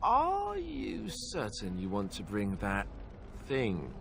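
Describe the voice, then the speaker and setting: A wry, cautious companion, low-ish, slow and lively, game music behind. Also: male voice, a little hiss or hum, full character voice. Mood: wary. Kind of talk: video game cut-scene acting.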